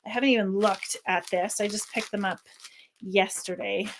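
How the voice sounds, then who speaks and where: A woman's voice: mid-range voice, steady tone, moderate pace, a little hiss or hum. Rambling woman reviewing products. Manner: rambling, thinks aloud with many restarts. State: slightly squeaky voice. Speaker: worship flag maker and channel host. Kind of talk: casual unboxing product review.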